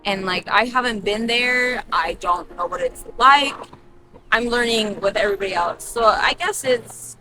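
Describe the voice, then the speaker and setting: A woman's voice: a little high, measured pace, recorded from a distance, over music, poor audio. A woman in her twenties answering a street interview candidly, leaning on "like" as she talks. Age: 20s. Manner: candid, many "like" fillers.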